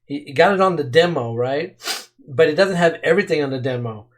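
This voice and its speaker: An eager man hosting a reaction video, talking in a casual, rambling way. His voice sits a little high for a man, and he leans hard on repeated words to stress his points. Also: fairly quick. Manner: enthusiastic, repeats words for emphasis. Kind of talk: casual commentary.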